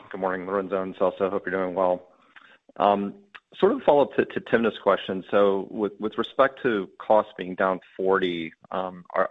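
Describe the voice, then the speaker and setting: A deep-voiced man on a thin, phone-quality line. He asks questions like an analyst, politely and a little tentatively, restarting phrases. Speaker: financial analyst asking questions. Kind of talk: polite conference-call questioning. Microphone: phone call.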